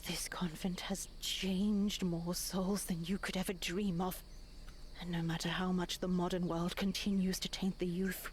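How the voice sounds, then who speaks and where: An actress voicing a stern, cold older nun who commands in a slow, menacing way, in a visual novel. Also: female voice, lowish voice, a little hiss or hum.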